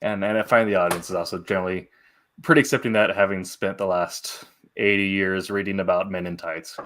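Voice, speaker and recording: A man hosting a casual interview, phrasing his questions in a hesitant, halting way. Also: low-pitched, steady tone, fairly bright tone. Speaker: interviewer and show host.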